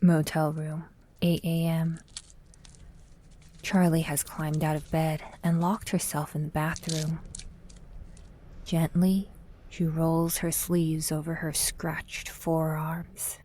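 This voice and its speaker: A woman reading scripted dramatic narration in a measured, brooding, literary manner. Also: low-pitched, flat delivery, warm tone, slight background noise.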